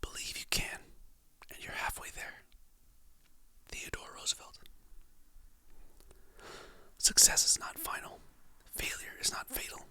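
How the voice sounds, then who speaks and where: Soft whispering ASMR creator, bright and airy. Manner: soft whisper, slow and soothing, reads quotes then names the author. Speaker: content creator. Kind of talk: whispered ASMR motivational quotes and affirmations.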